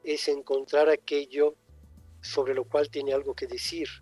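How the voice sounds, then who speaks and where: An older man with a husky, mellow voice who speaks Spanish as a second language, his first being Italian. He answers interview questions thoughtfully, pausing and circling back as he searches for words. Soft music plays faintly behind him. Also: fairly high pitch, little pitch movement. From born in Egypt, raised in Italy. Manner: reflective, pauses and restarts often. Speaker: writer guest.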